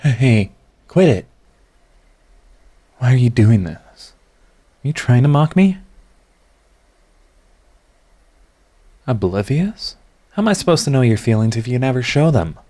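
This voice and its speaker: A man playing a proud yet tender, lovestruck villain in a romantic ASMR audio roleplay, speaking in a halting, intimate, earnest way. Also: mid-range voice, sing-song, warm tone. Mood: startled, indignant.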